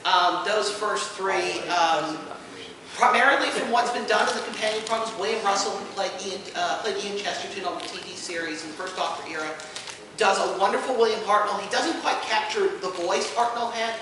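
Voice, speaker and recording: A man's voice: sits high, a little fast, bright, forceful tone. A man hosting a fan convention panel, explaining things off the cuff with enthusiastic emphasis. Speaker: panel host. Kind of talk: unscripted fan convention presentation. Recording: very echoey room, distant, faint noise.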